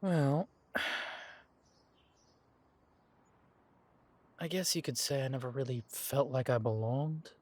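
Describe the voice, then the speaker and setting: A young man voicing an easygoing werewolf man in an audio roleplay, talking to the listener in a relaxed, reminiscing way and chuckling often. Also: mid-range voice, normal speed, lightly acted. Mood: wistful.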